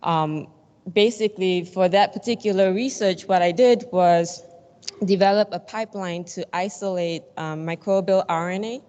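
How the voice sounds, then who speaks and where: A woman from Singapore in her thirties giving a scientific conference talk, relaxed with many fillers. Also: on the low side, moderate pace, clear, resonant tone, muffled recording. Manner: casual with frequent fillers. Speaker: conference speaker, plant biology researcher. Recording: long hall reverb.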